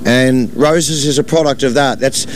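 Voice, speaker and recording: A man's voice: mid-range voice, fairly even intonation, measured pace. A man being interviewed as a DJ and producer, speaking casually with many restarts and fillers. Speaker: DJ and producer guest.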